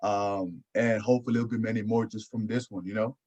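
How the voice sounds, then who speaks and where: A man's voice: on the low side, steady tone, fast talker, darkish tone. A man hosting a podcast in casual chat, often repeating his phrases. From Houston area, United States. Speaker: podcast host. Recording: dull, lo-fi audio.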